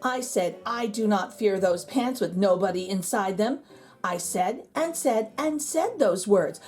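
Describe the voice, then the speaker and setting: A woman's voice: medium pitch, animated, a little slow, darkish tone, pitched below the performer's own voice, close to an everyday voice. A woman voicing a jittery, easily frightened picture-book narrator, telling a rhyming tale with suspenseful, dramatic emphasis. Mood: nervous resolve.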